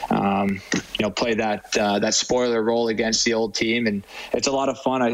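A man's voice: fairly low pitch, fairly bright tone, low-quality recording. A man in his twenties who plays pro hockey, answering casually as an interview guest. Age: 20s.

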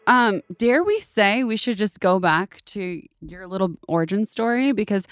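A woman's voice: medium pitch, animated, normal speed, clear, resonant tone, dry recording, studio quality. A woman co-hosting a podcast, joking and teasing in casual chat. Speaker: podcast co-host.

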